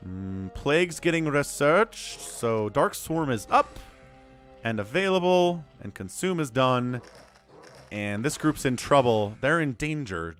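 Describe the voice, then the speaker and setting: A man calling a live strategy game match solo, his voice a little hoarse and stuffy from a cold, swinging from calm analysis to loud exclamations. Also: medium pitch. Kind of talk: live esports play-by-play commentary.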